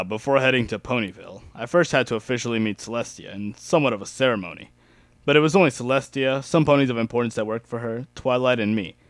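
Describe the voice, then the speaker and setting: A young man narrates fan fiction as an amateur dramatic reader. His pitch is average for a man. The recording is dry, with no room sound. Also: dry recording. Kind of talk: dramatic reading of first-person fan fiction.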